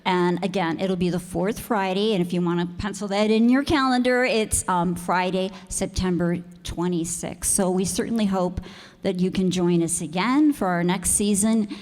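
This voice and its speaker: A woman hosts a community group's event, offering thanks to the audience in a measured public address. Her mid-pitched voice rings through a reverberant hall. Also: darkish tone. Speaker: host of a community radio theater group. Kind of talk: closing thank-you remarks to an audience. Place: community auditorium stage. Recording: echoey hall, picked up in reverberant room.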